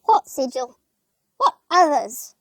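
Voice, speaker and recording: This is a woman's portrayal of a naive little angel of low rank in a comic puppet show. The voice sits high and moves about in animated leaps, close and dry. It is prim and earnest, easily flustered into indignation. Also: slow, dry recording, heavily acted. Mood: alarmed, flustered.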